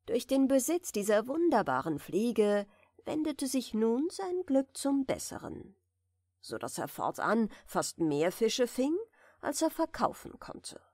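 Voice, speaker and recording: A woman narrates a bedtime fairy tale in a soft, soothing way. Her voice sits a little high and moves with lively melody, at a very unhurried pace. She reads gently, as if easing a listener to sleep. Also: no room sound, clean studio sound. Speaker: audiobook reader.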